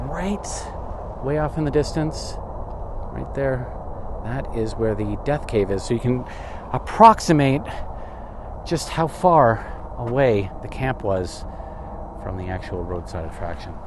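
A man's voice: mid-range voice, unhurried, noisy background. A man in his thirties vlogging a walking tour outdoors, chatting casually with wry asides and asking himself questions. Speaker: travel vlogger. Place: outdoors in open desert. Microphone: camera or phone mic, handheld.

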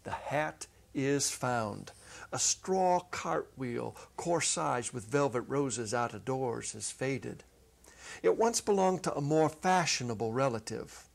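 A man's voice: medium pitch, slow, creaky. Middle-aged man reading a story expressively. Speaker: narrator, dramatic reader. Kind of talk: dramatic reading of a literary short story. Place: indoor studio set. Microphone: lapel.

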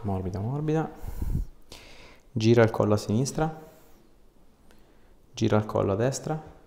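The voice is a man's, low and creaky. He talks casually as an osteopath examining a patient, giving short, reassuring instructions. The small treatment room adds a clear echo. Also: animated, unhurried, mellow, slight background noise. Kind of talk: casual hands-on exam talk, ASMR video.

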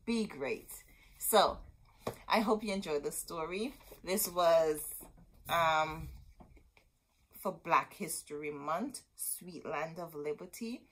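A middle-aged woman hosting a storytime, reading a picture book aloud expressively with dramatic, deliberate phrasing and asides to her listeners. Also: sits low, poor audio. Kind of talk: expressive children's read-aloud. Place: small indoor room with bookshelves.

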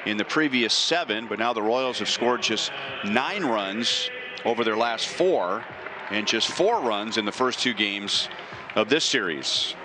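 A man calling a baseball game play by play from the broadcast booth, steady and informative. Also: fairly low pitch, background noise. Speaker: play-by-play announcer.

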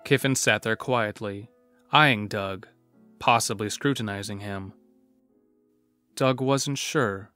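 A man's voice: lowish voice, slow, pauses often, sharp tone. A man narrating a scripted horror story. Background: music.

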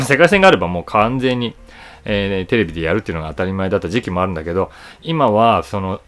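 A man in his forties talks casually to camera in a low voice. His intonation moves around a lot as he explains things conversationally, leaning on key words for emphasis. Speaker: voice actor and YouTube host. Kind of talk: casual solo commentary to camera.

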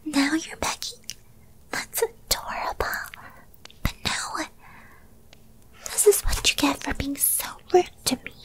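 A possessive young witch girlfriend, played by a woman, whispers in a high, breathy voice. She takes her time, cooing and coaxing like a lovestruck captor in an ASMR roleplay while keeping firm control. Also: slow pace, long pauses, low-quality recording. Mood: smug, hurt.

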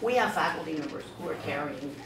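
A woman's voice: deep voice, lively intonation, quick pace, gravelly. A woman in a conference audience asking the speaker a direct question. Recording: echoey room from far off, noisy.

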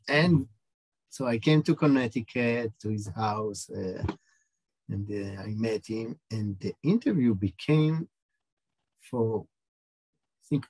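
A middle-aged man with an Israeli accent, a film director answering questions over a video call, halting and searching for words. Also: medium pitch, darkish tone, no echo, poor audio. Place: small room indoors.

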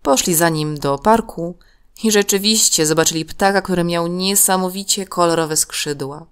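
A woman narrating a scripted children's story in a measured, expressive way. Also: fairly low pitch, smooth.

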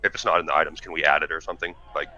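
Low, bright-edged game master on voice chat. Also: male voice, fairly even intonation. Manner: loose, halting recap with many fillers. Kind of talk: casual tabletop game session over voice chat. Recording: dull lo-fi voice chat with faint hiss.

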